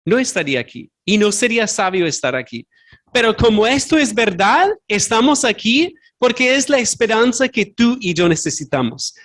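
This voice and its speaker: A male pastor preaching a sermon in a church, his voice sitting high for a man. He is earnest and direct. Spanish is not his first language, so he sometimes stops to hunt for a word and fixes his own slips aloud. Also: very animated, moderate pace, fairly bright tone. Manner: earnest, searches for words and corrects himself aloud. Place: church sanctuary.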